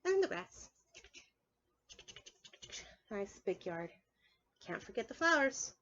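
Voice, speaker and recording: A woman's voice: fairly high pitch, very animated, takes it slowly, bright, forceful tone, highs cut off, a little hiss or hum. A woman preschool teacher reading aloud and leading a drawing lesson for young children, playful and encouraging, often asking her listeners questions.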